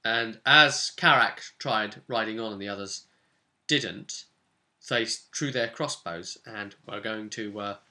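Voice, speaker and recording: A man casually recounting a role-playing session as game master, telling it in an animated storyteller's way. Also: fairly low pitch, unhurried.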